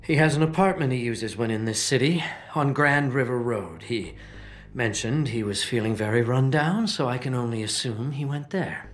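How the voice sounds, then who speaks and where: An actor playing a grieving, self-righteous leader of a political movement in a video game, with measured, formal, oratorical speech. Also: male voice, mid-range voice, close to an everyday voice. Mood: polite, subdued. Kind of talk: dramatic video game acting.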